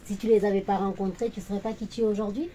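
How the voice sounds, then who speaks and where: Woman interviewer, mid pitch, mellow, keeps saying mhm and d'accord. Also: fairly even intonation, fast, a little hiss or hum. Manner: encouraging, short prompts. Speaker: interviewer host. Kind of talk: interview hosting.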